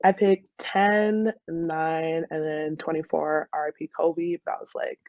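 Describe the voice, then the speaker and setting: A woman's voice: deep voice, a little slow, dry recording, highs cut off. A woman in her twenties with an American accent, chatting casually as an interview guest in a giggly, storytelling way. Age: 20s. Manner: giggly, animated storytelling with quoted dialogue. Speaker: podcast guest, soccer player.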